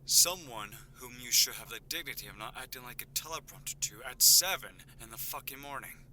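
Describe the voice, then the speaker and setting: A man's voice: medium pitch, expressive, fairly quick, sharp tone, rough recording. An actor playing a curt, menacing crime syndicate henchman in an audio drama, stern and condescending. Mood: irritated, threatening.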